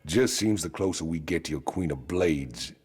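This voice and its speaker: A man playing a huge, world-weary outlaw convict in a video game, gruff and cynical with a gravelly drawl. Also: very deep voice, lively intonation, moderate pace, a little mellow, full character voice. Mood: weary, resentful. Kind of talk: video game cutscene acting. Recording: reverberant hall with music underneath.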